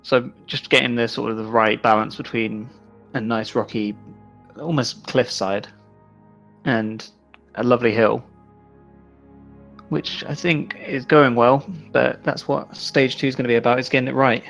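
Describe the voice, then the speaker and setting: Irish-sounding man chatting, mid-pitched voice. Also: steady tone, unhurried, vocal fry, a bit bright. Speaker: landscape designer on a game modding team. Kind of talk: casual livestream chat. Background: faint music.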